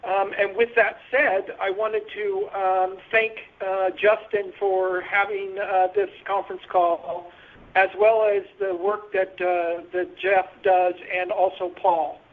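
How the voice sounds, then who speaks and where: A man speaking haltingly and earnestly as a caller giving a statement on a telephone conference call. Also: high voice, flat delivery. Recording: tinny, narrow phone line.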